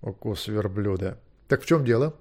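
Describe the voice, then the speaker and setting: A man voicing a gloomy, unflappable male traveller in a fantasy audiobook, terse and deadpan. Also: lowish voice, moderate pace.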